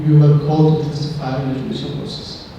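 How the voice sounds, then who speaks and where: Dark-voiced Ecuadorian man in an echoey hall. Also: on the high side, little pitch movement, quick pace, slight background noise. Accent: Ecuadorian Spanish. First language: Spanish. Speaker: audience member, law student asking a question. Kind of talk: formal question from the floor. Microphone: audience microphone. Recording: dull echoey hall sound, distant mic.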